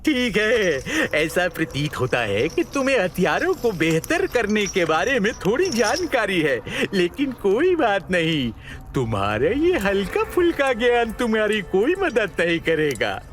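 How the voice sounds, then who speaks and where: Male narrator playing cruel villain smith, high-pitched for a man, swooping intonation, mocking, belittling, audio drama, music underneath. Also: huge pitch swings, measured pace, a bit bright, heavily acted. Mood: sneering contempt. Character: condescending cruel male weapon-smith captor, sneering and menacing. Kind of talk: audio drama character acting. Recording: music behind the voice. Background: background music.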